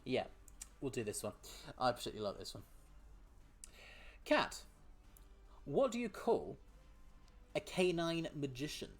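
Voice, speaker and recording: Guy chatting to his stream while he plays, pitch all over the place, laughs a lot, trips on words sometimes. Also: wildly swooping pitch, unhurried, pauses often. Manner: chatty, laughs often, reads chat aloud. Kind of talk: casual gaming stream commentary.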